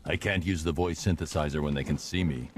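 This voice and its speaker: An actor playing a stern, grim vigilante hero in a video game, terse and businesslike. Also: male voice, a bass voice, fairly quick, a little hiss or hum. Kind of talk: video game cutscene acting.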